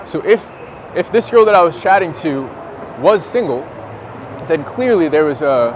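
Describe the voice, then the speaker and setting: A man's voice: a little high, animated, normal speed. A man in his twenties with an American accent, chatting casually and presenting as a dating coach. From San Francisco, United States. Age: 20s. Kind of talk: casual street chat and talk to camera. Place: outdoors on a busy London street. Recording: noisy city street, traffic behind.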